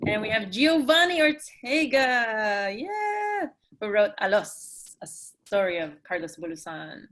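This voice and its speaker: A woman's voice: a little high, a bit bright. A woman hosting a playwrights' talk-back over a video call, speaking in a casual style.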